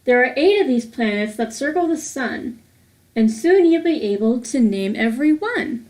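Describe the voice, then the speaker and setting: High-voiced woman reading aloud to children. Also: animated, normal speed, a little mellow. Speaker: host of a children's read-aloud series. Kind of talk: children's picture book read-aloud.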